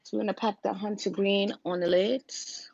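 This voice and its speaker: A woman with a raspy voice, casually talking viewers through a makeup look on a livestream, step by step. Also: medium pitch, steady tone, moderate pace, a little mellow, no room sound, highs cut off. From Virginia, US. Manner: chatty, talks viewers through each step. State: raspy, deeper voice than usual.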